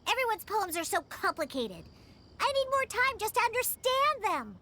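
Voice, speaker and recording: An actress voicing a small, shy cat-eared girl who gets excited easily. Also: very high voice, normal speed, full character voice. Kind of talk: game cutscene voice acting.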